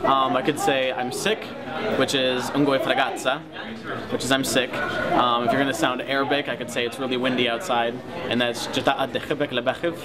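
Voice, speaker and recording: A man's voice: mid-range voice, level tone, fairly quick. A young man giving casual interview answers with many ums, laughing easily. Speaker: interviewee, singer and language creator. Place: busy convention hotel. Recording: loud crowd noise. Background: loud crowd chatter.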